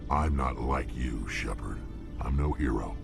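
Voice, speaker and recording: An actor playing a hardened, blunt male alien mercenary warrior with a gruff, world-weary manner, in a video game. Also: extremely low pitch, measured pace, heavily acted. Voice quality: gravelly. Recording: game audio with music behind.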